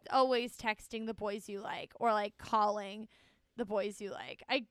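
A woman podcast host chatting casually in an animated, sarcastic, slangy style with comedic teasing. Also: medium pitch, unhurried, smooth, no echo, clean studio sound.

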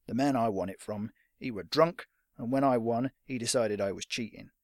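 A man voicing a gruff old retired fighter in a storybook, with a curt, menacing manner. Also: medium pitch, moderate pace, no echo, studio quality, close to an everyday voice. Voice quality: rough.

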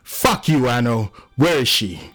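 A man's voice: medium pitch, animated, unhurried, darkish tone, dry recording, faint music behind. A man voicing an anguished, volatile grieving man in a dramatic audiobook story. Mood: furious. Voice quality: gruff when dejected. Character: anguished, volatile man in grief, quick to rage. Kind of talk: dramatic audiobook character acting.

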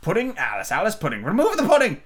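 A bossy royal queen with a high, shrill voice, snapping out curt orders at full volume, as a man puts her on. The lines come in short, commanding bursts, and she leaves no room to argue. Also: big pitch swings, brisk, pushed and bright, heavily acted. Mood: stern.

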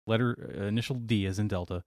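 A man's voice: lowish voice, fairly even intonation, quick pace, a little mellow, no echo, studio quality. A man co-hosting a casual podcast, reading news stories aloud with playful teasing.

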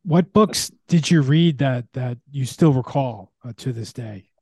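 Man, casual podcast host. Medium pitch, dry close recording, conversational delivery. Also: a little slow, dark tone, slightly rough, no echo.

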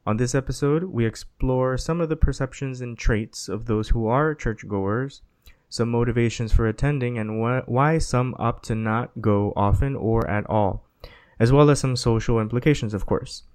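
A man's voice: mid-range voice, moderate pace, darkish tone, smooth, no room sound. Man hosting, reading a scripted intro. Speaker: podcast host. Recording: dry close podcast audio.

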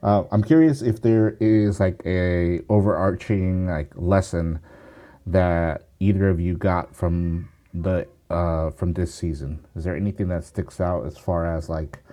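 A man's voice: deep voice, fairly even intonation, unhurried, mellow. A man who produces a podcast, talking casually with many restarts and fillers.

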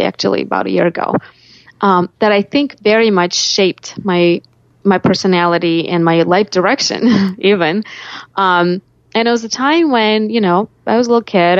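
A woman's voice: mid-range voice, dry recording, lo-fi sound. A woman with a Russian accent telling reflective personal stories as an interview guest, often voicing her own thoughts aloud. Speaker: life coach, podcast guest.

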